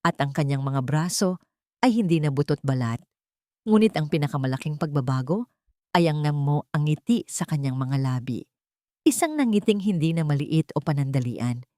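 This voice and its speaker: A woman narrating a sentimental story from a script, in a measured, solemn and emotive way with short phrases. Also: low-pitched, lively intonation, darkish tone, no echo, clean studio sound.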